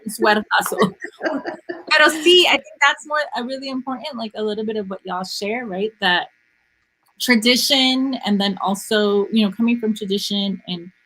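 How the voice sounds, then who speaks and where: A woman's voice: on the high side, moderate pace, bright, forceful tone, poor audio. A woman hosting a casual video call interview, enthusiastic and mixing in Spanish phrases as she asks questions. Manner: enthusiastic, code-switches casually. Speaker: host. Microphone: laptop webcam mic on video call.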